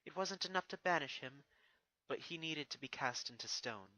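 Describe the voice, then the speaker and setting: A man's voice: fairly high pitch, expressive, normal speed, pushed and bright, dry recording, voice pushed up, poor audio. A man voicing a regal, stern female pony princess who speaks in formal, solemn speeches with a wounded resolve. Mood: firm, reproachful.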